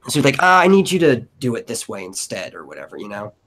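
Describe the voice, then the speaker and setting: A man's voice: mid-range voice, sing-song, fast, fairly bright tone. A man in a casual brainstorming chat, thinking aloud in a rambling way as a co-director. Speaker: co-director planning an audio drama.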